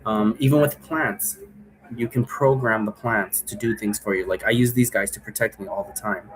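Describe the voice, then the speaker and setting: A man's voice: fairly low pitch, steady tone, moderate pace, poor audio. A man giving a casual, rambling tutorial to viewers in an informal, repetitive way, often checking in as he goes. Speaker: host giving an occult divination tutorial.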